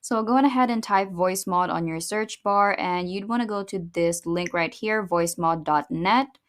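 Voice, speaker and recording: A woman with a slightly low voice narrates a how-to tutorial. She talks through each step in a casual, instructional style, as the host of the video.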